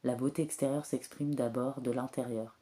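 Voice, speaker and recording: Soft-spoken woman with a cold, monotone. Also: a bass voice, a little hiss or hum. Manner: soft, hesitant, many filler pauses. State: has a cold, clears throat often. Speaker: tarot and oracle card reader. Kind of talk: spiritual guidance reading.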